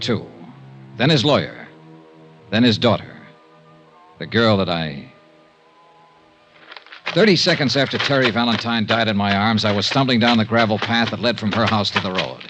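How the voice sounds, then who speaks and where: An American actor playing a hard-driven, grieving investigator in a 1950s radio drama, with terse, intense delivery. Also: male voice, medium pitch, lightly acted. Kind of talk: 1950s radio detective acting with first-person narration. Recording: vintage radio drama with music behind.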